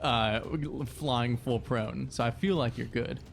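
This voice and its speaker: A man's voice: medium pitch, takes it slowly, gravelly, slight background noise. A man playing a tabletop game, talking casually with a wry, joking manner. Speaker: player in a tabletop game podcast.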